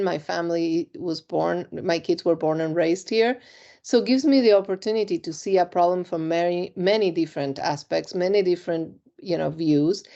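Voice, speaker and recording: A middle-aged woman from Argentina, interviewed about her career, speaks in a measured, reflective way with frequent ums. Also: fairly low pitch, dark tone, highs cut off. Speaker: compliance executive, interview guest.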